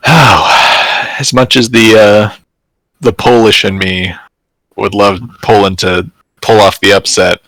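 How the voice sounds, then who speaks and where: A man's voice: on the low side, steady tone, takes it slowly, a bit bright, no echo, rough recording. A man co-hosting a soccer podcast, talking casually, hesitant, with many fillers. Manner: hesitant, trails off with fillers and laughs. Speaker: podcast co-host. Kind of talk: casual sports chat.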